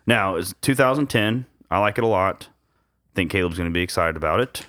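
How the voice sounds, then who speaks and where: A man's voice: low-pitched, animated, a little fast, fairly bright tone. A man hosting a casual podcast chat, easygoing and rambling with lots of fillers. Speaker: podcast host. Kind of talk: casual chat over beers.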